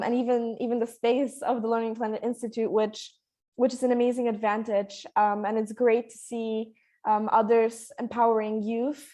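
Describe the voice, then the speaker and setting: A woman's voice: a little high, warm tone. A seventeen-year-old young woman from Poland, speaking informally as a guest presenting her own work. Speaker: youth fellow, guest speaker.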